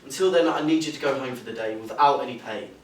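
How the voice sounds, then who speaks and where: An actor playing a stern, imperious boss who scolds pompously in a stage play. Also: male voice, medium pitch, fast, lightly acted. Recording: distant, echoey stage recording, faint noise.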